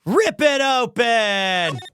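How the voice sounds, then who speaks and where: A woman's voice: a little high, lively intonation, drawn-out words, fairly bright tone, exaggerated voice. A woman voicing a perky, bossy teenage girl assistant in video game dialogue, teasing and eager, with game music underneath. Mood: excited.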